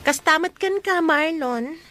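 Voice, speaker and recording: A woman's voice: high voice, measured pace, fairly bright tone, faint music behind. A woman playing a cheeky, teasing young best friend in an Ilocano radio drama. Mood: reassuring.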